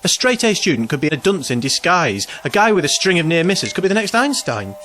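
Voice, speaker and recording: A man's voice: fairly high pitch, sing-song, a little fast, fairly bright tone, soft background music. An actor playing an anxious, self-pitying man in his twenties, hesitant and rambling, in an audio drama. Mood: exasperated, glum. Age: 20s.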